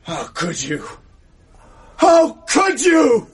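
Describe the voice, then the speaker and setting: A proud young Englishman, betrayed and drunk, pitched very high and hurling accusations. His voice breaks between shouting and near-tears with wounded pride. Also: huge pitch swings, very slow, noisy background, full character voice. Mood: furious. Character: impassioned young man, betrayed, bitter, proud. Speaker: jilted friend. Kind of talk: 1980s radio drama acting.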